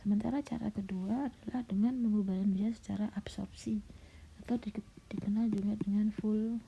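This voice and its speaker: A middle-aged woman lecturer, slightly hoarse and coughing, patiently walking students through a recorded slide lecture with frequent fillers. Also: mid-range voice, steady tone, normal speed, dark tone, a little hiss or hum. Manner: patient, explanatory, with frequent "nah" and "ya". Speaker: university lecturer. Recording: dull lo-fi recording.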